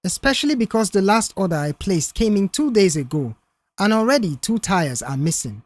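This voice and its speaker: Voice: medium pitch, big pitch swings, normal speed, dry recording. A narrator voicing a tense, earnest young male mechanic in an audiobook story. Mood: earnest, firm.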